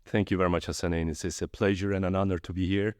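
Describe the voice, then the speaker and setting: Deep-voiced Turkish-born man, guest. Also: fast, no echo, studio quality. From Turkey. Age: middle-aged. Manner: friendly, animated storytelling with restarts. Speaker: guest, trade fair executive. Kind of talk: conversational interview.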